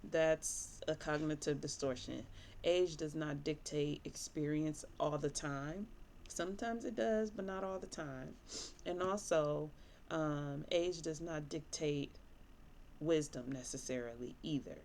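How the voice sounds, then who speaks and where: A woman's voice: deep voice, unhurried, vocal fry, darkish tone, a little hiss or hum. A woman in her thirties, a therapist from Michigan, talking candidly and casually to camera with plenty of fillers while sniffly from allergies. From Michigan, USA. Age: 30s. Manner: chatty, candid, rambling with fillers. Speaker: licensed therapist.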